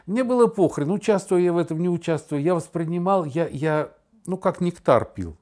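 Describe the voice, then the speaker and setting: Middle-aged male podcast host, lively swinging intonation, emphatic and stumbling over words as he muses. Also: a little high. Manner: animated, emphatic, halting with stammered repetitions. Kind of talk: casual reflective conversation with anecdotes.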